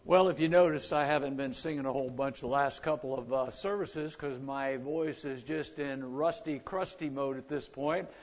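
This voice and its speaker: An older man with a gravelly, rough voice, a visiting gospel singer at a church service, chatting folksily between songs. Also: mid-range voice, fairly even intonation, moderate pace, hardly any pauses. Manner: folksy, self-deprecating patter between songs. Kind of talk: church singing and chat. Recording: muffled, lo-fi with instrumental backing.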